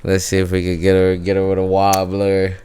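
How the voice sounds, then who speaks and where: A man's voice: deep voice, monotone, fairly quick, dark tone, slight background noise. A man hosting a podcast, hyping his guest in a loose, slangy way with lots of fillers. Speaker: podcast host. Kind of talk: casual podcast interview.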